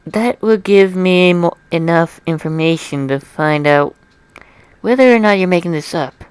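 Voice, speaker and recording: A man's voice: high-pitched, unhurried, clear, resonant tone, no echo. A man voicing a polite, inquisitive, bookish young female pony in an amateur dramatic story reading.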